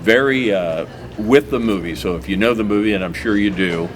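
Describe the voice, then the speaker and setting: A man hosts a hometown TV show, his voice sitting very low. He talks in a loose, rambling way, full of "uh" restarts. Steady background noise runs under the recording. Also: a little fast. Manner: relaxed, rambling, many "uh" restarts. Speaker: host of a local TV talk show. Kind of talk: casual interview chat.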